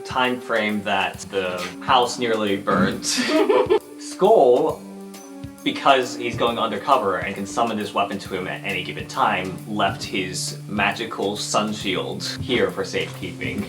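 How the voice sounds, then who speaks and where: A man's voice: lowish voice, sing-song, a little slow. A man improvising narration as a tabletop game master, explaining things informally and with amusement. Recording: echoey room with music underneath. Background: music.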